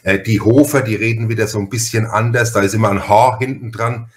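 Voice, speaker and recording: A man's voice: fairly low pitch, fairly even intonation, brisk. A man from Franconia with a Franconian accent hosting a casual podcast conversation, rambling and often repeating his phrases. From Franconia, Germany. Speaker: podcast host.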